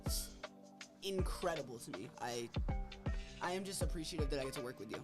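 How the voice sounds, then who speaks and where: A man's voice: on the high side, expressive, brisk. A man co-hosting a casual livestream, earnest and supportive as he chimes in. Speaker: co-host of a release stream. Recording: loud music behind the voice. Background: loud music.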